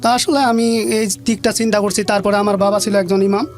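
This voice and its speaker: A man's voice: sits high, steady tone, fairly quick, soft background music. A Bangladeshi man telling his life story as an interview guest, plain-spoken and colloquial, often repeating phrases. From rural Bangladesh.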